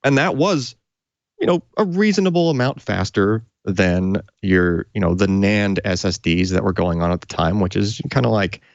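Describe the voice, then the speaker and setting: A man with a fairly low voice talks through technical details in an informal, conversational way. The sound is very dry and slightly dull, like a co-host on a tech show. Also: expressive, moderate pace, vocal fry, dry recording. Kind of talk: casual technical explanation.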